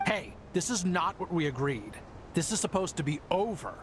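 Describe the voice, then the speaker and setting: Reluctant informant, fairly high voice, earnest, agitated, defiant, video game drama, background music. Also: male voice, sing-song, moderate pace. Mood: indignant. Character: desperate, defiant family man, reluctant informant.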